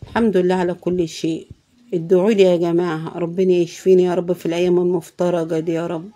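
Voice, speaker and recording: A woman with an Egyptian Arabic accent talks through her cooking in a hoarse, worn-out voice. She sounds tired and speaks casually, often murmuring thanks to God. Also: on the low side, flat delivery, fast, dark tone. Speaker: cooking vlogger.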